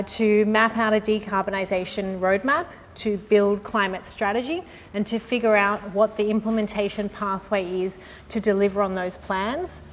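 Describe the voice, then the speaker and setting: Woman presenting in a reverberant hall. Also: mid-range voice. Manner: friendly, measured, with frequent "um" and "uh". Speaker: sustainability consultant presenting. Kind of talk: live industry presentation. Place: conference room with an audience. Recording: long hall reverb with faint noise.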